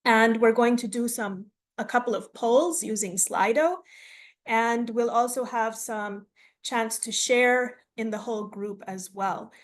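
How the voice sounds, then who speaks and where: A woman's voice: fairly high pitch, unhurried. A woman from the United States who grew up in Tanzania, facilitating an online workshop over a video call in a friendly, explaining way with frequent fillers. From United States, raised in Tanzania.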